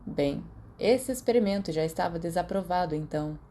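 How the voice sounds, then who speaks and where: A woman's voice: lowish voice, animated, brisk, dark tone. A woman with a Brazilian accent reads a story aloud as scripted audiobook narration. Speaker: podfic narrator.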